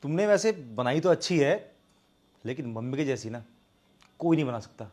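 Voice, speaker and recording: Young Indian husband, played by a male actor, medium pitch, fault-finding and earnest, domestic drama scene. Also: animated, normal speed, a little mellow, lightly acted. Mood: picky. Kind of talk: scripted domestic drama acting in Hindi with some English.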